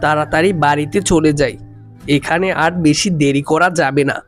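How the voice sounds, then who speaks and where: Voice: medium pitch, fairly even intonation, normal speed, loud music behind the voice, lightly acted. A cartoon performer playing a fearful villager who worries aloud and puts up bravado. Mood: worried. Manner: muttering worries to self, nervously defiant. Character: fearful villager carrying fish home, putting up bravado. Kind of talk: Bengali ghost cartoon dialogue.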